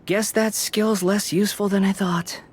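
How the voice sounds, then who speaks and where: A man's voice: sits high, fairly even intonation, normal speed, slightly rough. A man playing an aloof, mocking young rival in a video game, sarcastic and sneering. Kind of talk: video game character acting.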